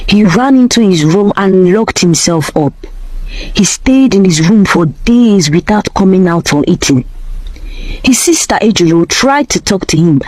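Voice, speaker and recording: A woman's voice: lowish voice, a little mellow, no room sound, rough recording. A woman narrating a scripted folktale as a storyteller.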